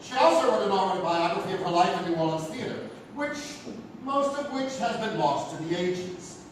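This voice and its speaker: A man's voice: sits high, expressive, normal speed. A man playing a storyteller who narrates ghostly mysteries in a theatrical, suspenseful live radio-theatre style. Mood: intrigued, dramatic. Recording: echoey, noisy live theatre from far off.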